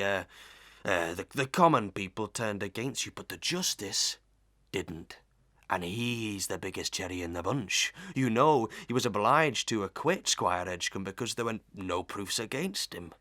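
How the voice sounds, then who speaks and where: A man's voice: on the low side, animated, normal speed, pushed and bright, no echo, studio quality. A man playing a talkative, self-important village fellow in a Victorian melodrama, rambling through proverbs in a wheedling, hemming way. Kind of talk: Victorian melodrama audio acting.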